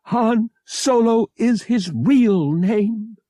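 A man's voice: high-pitched, drawn-out words, warm tone, dry recording, voice pushed up, heavily acted. A man voicing a loyal, defensive young woman who pleads emotionally, close to tears. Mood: urgent, upset.

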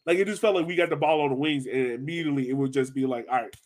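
Man, mid-range pitch, casual sports podcast host, informal, thinking aloud. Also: racing. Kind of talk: casual soccer post-match discussion.

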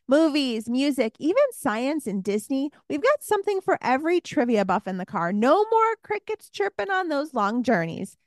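A woman's voice: a little high, dry recording, studio quality. A woman reading an upbeat scripted promo for a family podcast.

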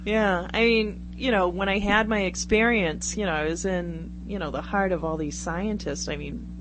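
A woman's voice: mid-range voice, fairly even intonation, moderate pace. A woman chatting casually on a radio talk show, rambling and self-deprecating as she goes. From Brockton, Massachusetts, United States. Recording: very noisy radio recording with hum.

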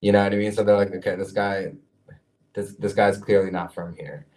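A man's voice: deep voice, flat delivery, brisk, darkish tone. A young man from Ukraine with an American accent, telling stories loosely with lots of "like" on a casual podcast. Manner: storytelling with lots of "like" and quoted dialogue. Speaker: podcast co-host. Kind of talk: casual chat.

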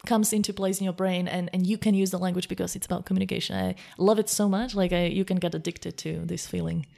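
A woman's voice: fairly low pitch, fairly even intonation, fast talker, gravelly, dark tone. A young woman from Slovakia with a Slovak accent, a guest talking eagerly about learning languages. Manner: eager, thoughtful, frequent restarts. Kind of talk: casual conversation.

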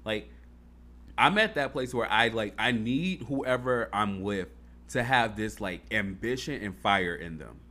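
A man's voice: fairly low pitch, lively intonation, a little slow, sharp tone. A man in casual chat, talking earnestly and rambling, with fillers and swearing.

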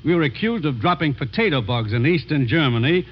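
Authoritative male commissioner, animated and husky, muffled vintage radio drama. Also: fairly high pitch, brisk. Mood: earnest, brisk. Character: brisk, earnest male government commissioner briefing his agent. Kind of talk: 1950s radio drama acting.

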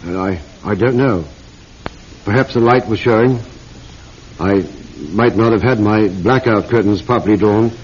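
An actor playing a bewildered, shaken aristocratic British publisher in an old radio drama, hesitant and refined. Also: male voice, fairly low pitch, a little slow, a little mellow, a little hiss or hum, lightly acted. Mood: faltering recollection. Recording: muffled old radio recording.

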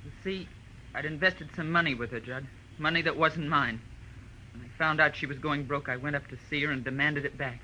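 Piercingly intense, desperate murderess. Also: female voice, sits low, fairly quick, sharp tone. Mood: grim regret. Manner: intense, short confessional sentences. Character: desperate, coldly apologetic murderess confessing at gunpoint. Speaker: radio drama actress. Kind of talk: 1940s radio melodrama acting. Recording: noisy old radio broadcast.

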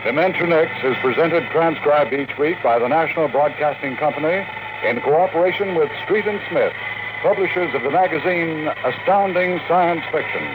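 A man's voice: a little high, moderate pace. A man working as a network announcer, reading scripted copy in 1950s radio style. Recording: noisy, tinny old radio recording.

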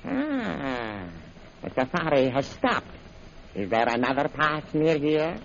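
An actor playing a ruthless, commanding bandit chief in an old radio adventure serial, with a menacing, deliberate delivery. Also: male voice, a little high, expressive. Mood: stern, scheming. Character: ruthless, gloating male bandit chief plotting murder. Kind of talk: 1930s radio serial acting. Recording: muffled, noisy vintage radio recording.

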